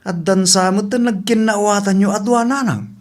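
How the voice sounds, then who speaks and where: Man acting a grown son in a radio comedy, very high voice, calm and teasing. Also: normal speed, natural voice. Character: calm, teasing adult son soothing his mother.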